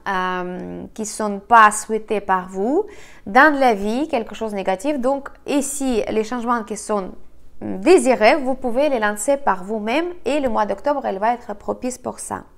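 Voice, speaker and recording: Woman with a cold giving halting advice. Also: on the high side. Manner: halting, frequent fillers and self-corrections. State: slightly congested from a cold. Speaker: feng shui and BaZi astrology consultant. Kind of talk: monologue giving monthly astrology forecast.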